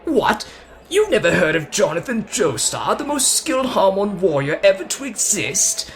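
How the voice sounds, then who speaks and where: A man's voice: sits high, very animated, moderate pace, a faint crowd. A man voicing a brash, playful young British show-off who is boastful, teasing and theatrical in an ASMR roleplay. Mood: boastful, charming. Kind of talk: ASMR roleplay addressed to the listener.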